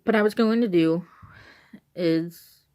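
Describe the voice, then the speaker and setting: A young woman with an Illinois accent and a hoarse voice and stuffy nose, chatting casually to a handheld camera at home and rambling as she repeats and restarts herself. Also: fairly low pitch, slow, a little mellow. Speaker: vlogger. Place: at home on the couch.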